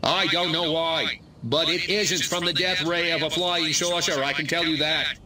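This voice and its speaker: A man's voice: a little high, fairly even intonation, normal speed, distant mic, background noise, natural voice. A man voicing a pompous, blustering small-town American mayor in a video game. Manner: pompous, folksy, stalling.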